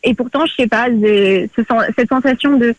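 A woman's voice: a little high, normal speed, rough recording. A woman in her twenties, Portuguese-born with Portuguese as her first language and raised in France, speaking as a guest in a radio interview, hesitant with many fillers and restarts. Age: 20s. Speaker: association president, guest.

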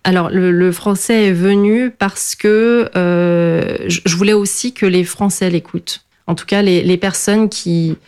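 A woman's voice: on the low side, steady tone, unhurried, hardly any pauses, a little mellow, smooth. A middle-aged woman from France whose first language is Portuguese, answering interview questions in a hesitant way with many fillers and restarts. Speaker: guest.

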